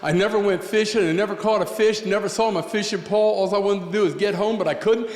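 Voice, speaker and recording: A man's voice: high-pitched, fast, darkish tone. A man from southeastern Pennsylvania telling a personal story on stage in a folksy, joking way. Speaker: storyteller performer. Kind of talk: spoken storytelling. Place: coffeehouse stage. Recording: echoey room with long reverb.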